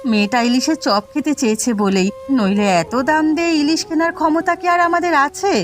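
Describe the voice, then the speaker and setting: Cartoon village wife and mother, played by a woman: high voice, earnest, plaintive, tender, over soft music. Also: brisk, natural voice. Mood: worried, sorrowful. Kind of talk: Bengali cartoon voice acting.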